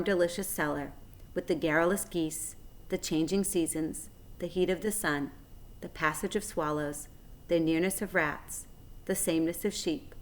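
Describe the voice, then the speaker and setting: A woman with a slightly low voice reads a children's book aloud. Her storytelling is measured and expressive, following the narration phrase by phrase.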